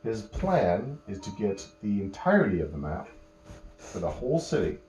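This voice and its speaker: A man telling an improvised bedtime story to children, patiently explaining words and pausing mid-phrase as he goes. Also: fairly low pitch, very animated, darkish tone, soft background music. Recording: roomy echo, faint electrical hum.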